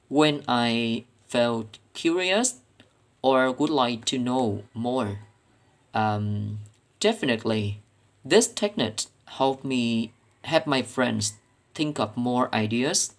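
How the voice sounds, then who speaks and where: A male student with a Vietnamese accent, speaking as a native Vietnamese speaker, delivers a prepared reflection. His pace is markedly slow and his pitch sits in the middle. He sounds careful and earnest, picking through his phrases haltingly. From Vietnam, Ho Chi Minh City. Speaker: student presenting coursework.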